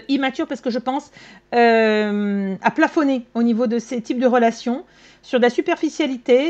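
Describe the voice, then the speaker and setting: A woman medium giving a casual reading to her viewers, blunt and slangy, with quick asides. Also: a little high, a little mellow. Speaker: tarot and psychic medium. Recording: dull lo-fi sound with faint music.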